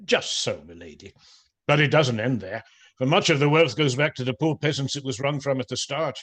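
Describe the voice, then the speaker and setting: A man's voice: medium pitch, fairly quick, a bit bright, slightly rough. A man playing a genial, pious, apologetic outlaw in a radio play, speaking in a wry, placating, courtly way. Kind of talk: theatrical radio play acting.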